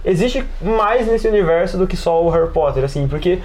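A man's voice: sits high, expressive, a little fast, a little mellow. A Brazilian man chatting casually as a podcast panelist, rambling and using plenty of slang. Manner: rambling, peppered with slang and filler tags. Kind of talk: casual chat about tabletop role-playing. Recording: clear background noise.

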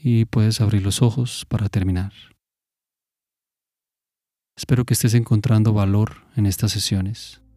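A man leading a guided mindfulness meditation in a calm, measured, instructive manner. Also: lowish voice, no room sound, studio quality.

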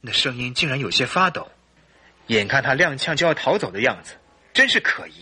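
Husky, forceful suspicious husband. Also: male voice, mid-range voice, expressive, a little fast, heavily acted. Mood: troubled. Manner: earnest, emphatic, theatrical delivery. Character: suspicious, anguished husband confiding in a detective. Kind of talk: Mandarin radio drama acting and storytelling.

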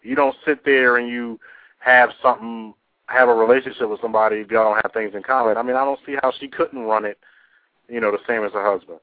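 A man's voice: mid-range voice, fairly even intonation, fast talker, no room sound. Man hosting over a tinny phone-like line. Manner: slangy, repeats himself emphatically. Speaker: talk radio host. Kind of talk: casual call-in show chat. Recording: narrow, tinny phone-line radio sound.

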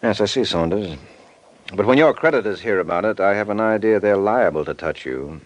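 An actor playing a suave, unflappable private detective in an old radio drama, with dry, understated sarcasm. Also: male voice, fairly low pitch, animated, fairly quick, background noise, natural voice. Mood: cool, dry.

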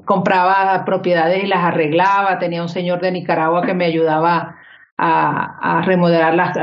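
Woman, interview guest, low voice, slow measured speech, muffled lo-fi sound. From born in Spain, raised in Colombia. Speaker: business administrator, guest. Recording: dull lo-fi sound.

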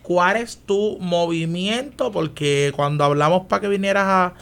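A man's voice: high-pitched, a little slow, creaky, a bit bright. A man with a Puerto Rican accent hosting a casual, slangy interview. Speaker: program host, interviewer.

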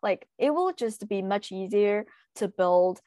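A woman's voice: medium pitch, lively intonation, dark tone, dry recording. Chinese-accented young woman, dry close sound. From China. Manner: hesitant, frequent fillers and pauses. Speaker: guest, translation student. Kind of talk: casual interview answers.

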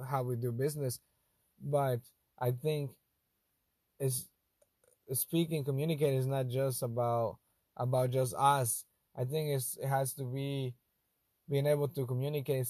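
A young man with a Spanish accent, English not his first language, hosting a casual monologue in a thoughtful, halting way that often restarts phrases. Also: medium pitch, mellow, no room sound. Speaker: host.

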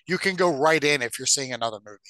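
A man's voice: medium pitch, animated, very fast, pushed and bright, no room sound. A man chatting casually and informally as a podcast co-host. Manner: informal, conversational. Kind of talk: casual chat about video game movies.

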